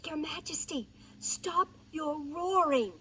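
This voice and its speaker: A woman's voice: high voice, slow, fairly bright tone, slight background noise, voice pushed up. A woman voicing a tiny, timid, polite mouse in an animal fable, pleading and stammering. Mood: urgent.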